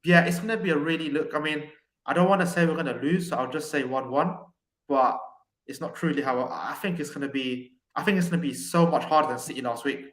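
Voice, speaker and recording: A man calling in to talk football, with a somewhat high voice that keeps jumping around as he gets worked up. He restarts sentences a lot and leans on fillers. The call sounds echoey, like a bare room. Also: fast. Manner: animated, many restarts and "look" fillers. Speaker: caller. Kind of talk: casual football chat.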